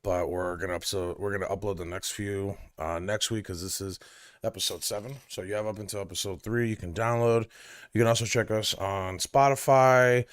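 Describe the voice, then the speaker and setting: Smooth low-voiced man in his thirties. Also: steady tone, fairly quick, no room sound. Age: 30s. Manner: joking, loose, filler-heavy sign-off. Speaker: podcast co-host. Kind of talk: casual live-stream chat.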